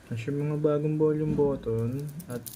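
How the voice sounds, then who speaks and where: A man's voice: fairly high pitch, steady tone, normal speed, warm tone, slight background noise. A man with a Filipino accent narrating a hands-on repair tutorial as a technician, informal and instructive, often checking in with short tag questions.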